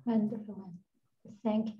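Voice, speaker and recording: A woman's voice: mid-range voice, fairly even intonation, a little slow, highs cut off. A woman hosting an online student seminar, welcoming people with brief prompts. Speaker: seminar host. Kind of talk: moderating an online meeting.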